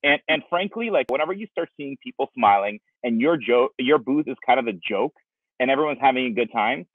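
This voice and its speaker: A man's voice: mid-range voice, lively intonation, brisk, no room sound. A man in his forties, born in Russia, answering interview questions in a rambling way full of restarts, speaking over a video call from an outdoor patio. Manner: rambling, with many restarts and fillers. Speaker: company founder, guest. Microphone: video call, wireless earbuds. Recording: dry, thin phone-like video call audio.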